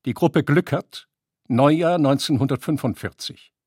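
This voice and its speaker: A man's voice: a little high, unhurried, a little mellow, husky, dry recording, clean studio sound. A man announcing scripted headings and record-like notes. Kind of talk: scripted reading of titles and minutes.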